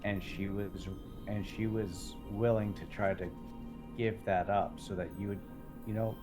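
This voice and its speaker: A man in an improvised roleplay conversation, speaking in a measured, explanatory, probing way like a counselor. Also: on the low side, steady tone, over music. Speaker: roleplay game player.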